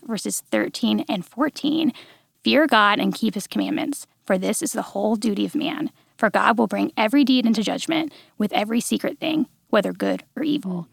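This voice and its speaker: Woman, 30s, podcast guest, bubbly, raspy fry, dry studio sound. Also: fairly high pitch, dry recording. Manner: bubbly, quick to laugh. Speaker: podcast guest, devotional writer. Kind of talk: casual chat and devotional teaching.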